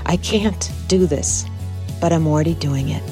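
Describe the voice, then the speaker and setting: A woman reading her own personal story aloud in a soft, earnest, reflective way. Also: low voice, normal speed, faint music behind.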